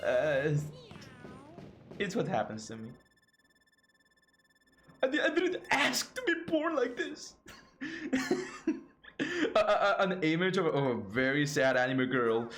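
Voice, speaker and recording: A lively, joking man with a Mexican Spanish accent commentates a video game for his stream, his pitch sitting a little high and swinging widely as he stammers and restarts. He speaks English as a second language, with faint music running underneath. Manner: playful, jokey, frequent laughter and stammering restarts. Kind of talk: casual live-stream commentary.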